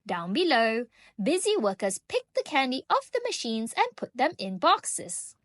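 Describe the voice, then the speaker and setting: A woman reading a children's book aloud in an expressive, animated way, drawing out key phrases for young listeners. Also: sits high, a little slow, no room sound, clean studio sound. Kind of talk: expressive storybook read-aloud.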